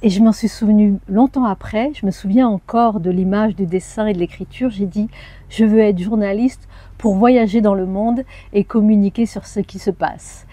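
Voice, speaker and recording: A French woman in her forties, a journalist and anthropologist, telling her life story in an interview with thoughtful pauses and hesitations. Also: medium pitch, steady tone, warm tone, no room sound. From France. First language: Arabic. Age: 40s. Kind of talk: personal interview.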